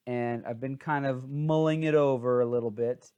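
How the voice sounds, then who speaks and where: A man's voice: medium pitch, fairly even intonation, normal speed, mellow, clean tone, dull audio. A man chatting casually as he hosts a book review, informal and a bit scattered and hesitant, sounding tired.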